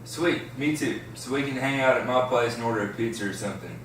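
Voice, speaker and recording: A man's voice: mid-range voice, fairly quick, natural voice. A man playing a friendly, laid-back teenage stoner boy in an amateur script read. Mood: friendly, tentative. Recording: echoey room, distant mic, faint noise.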